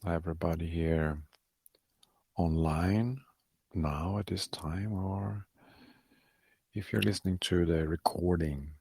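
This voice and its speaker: A man leading a guided meditation, speaking softly and calmly in short, halting phrases with long pauses. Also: sits low, gravelly, husky, no room sound.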